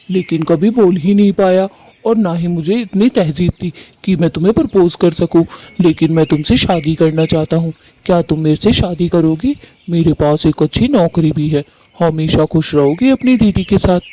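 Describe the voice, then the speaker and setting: A man's voice: high voice, moderate pace, mellow, lightly acted. A man voicing an earnest, affectionate male bird who talks in a coaxing way in a cartoon story. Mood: earnest, shy. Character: earnest, affectionate young male bird suitor and brother-in-law. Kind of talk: animated story dialogue.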